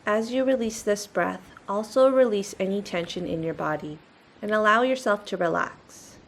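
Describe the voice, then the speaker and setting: A woman's voice: mid-range voice, normal speed, creaky. A woman leading a guided meditation in a soothing, instructional manner.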